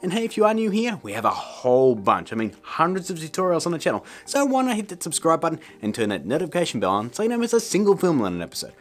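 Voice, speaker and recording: A man's voice: fairly high pitch, huge pitch swings, fast, hardly any pauses, soft background music. A man in his thirties presenting a tutorial in a chummy, informal, upbeat way, talking to viewers as guys. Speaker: tutorial host.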